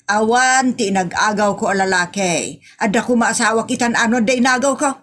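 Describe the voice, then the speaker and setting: A woman's voice: a little high, normal speed, a bit bright. A woman playing a grumbling, defensive aunt in a comedy audio drama.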